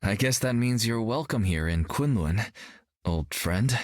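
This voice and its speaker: Martial arts hero, game character, low pitch, casual, earnest, dry close sound. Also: male voice, expressive, unhurried, dry recording. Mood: curious, easygoing. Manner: casual, questioning. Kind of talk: video game character voice acting.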